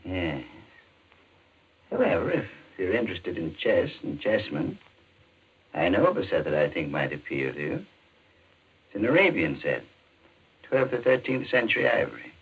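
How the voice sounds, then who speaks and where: A mysterious pawnshop keeper with a low, creaky voice. He is unctuously polite and deliberate, swinging his pitch in old 1940s radio drama fashion. The recording is tinny and narrow. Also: big pitch swings, full character voice. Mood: sly, deliberate. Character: mysterious courteous pawnshop keeper, devoted chess player.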